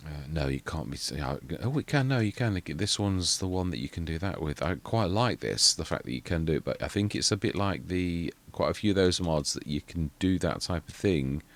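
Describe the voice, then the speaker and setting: A man's voice: low voice, expressive, fairly quick, hardly any pauses. A man, rambling through casual commentary on a farming game and often correcting himself mid-sentence. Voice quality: quiet voice. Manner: rambling, self-correcting, muttering asides. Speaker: gaming channel host.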